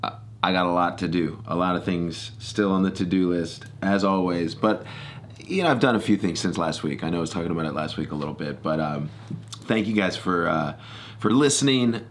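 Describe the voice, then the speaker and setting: A man's voice: deep voice, fairly quick, slight background noise. A middle-aged American man hosting a podcast, chatting casually and rambling as he corrects himself. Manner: rambling, self-correcting storytelling. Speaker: podcast host. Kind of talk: casual solo podcast chat. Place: small home studio room. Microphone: close boom-arm podcast microphone.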